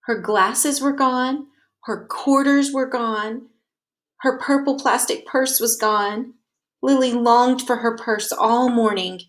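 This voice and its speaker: A woman reads a children's picture book aloud as a storyteller, with expressive, deliberate narration that leans on key words. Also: fairly high pitch.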